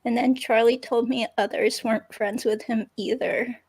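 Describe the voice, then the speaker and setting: A woman's voice: on the high side, fairly even intonation, normal speed, a little mellow, slightly rough, poor audio. A woman reading the part of a chatty, curious ex-girlfriend in a police interview, in casual, hesitant phrasing. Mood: gossipy.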